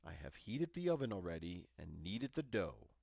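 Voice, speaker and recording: A man's voice: sits low, sing-song, normal speed, vocal fry, darkish tone, dry recording, studio quality, pitched below the performer's own voice, full character voice. A man voicing a wicked old witch, cunning and greedy, who scolds and commands in a storybook reading. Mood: bossy.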